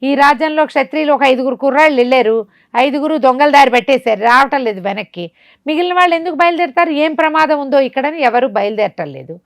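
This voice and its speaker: A woman's voice: high-pitched, lively intonation, no room sound, pitched above the performer's own voice. Bedtime-story villain played by a woman: scheming male sorcerer, commanding and suspicious, insistent repetition, dry close sound. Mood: commanding, urgent. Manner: rapid questions and insistent repeated orders.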